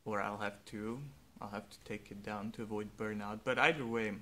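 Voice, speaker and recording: Slow, earnest man, Hungarian native. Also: fairly low pitch, fairly even intonation, bright, forceful tone. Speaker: vlogger. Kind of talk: reflective personal monologue.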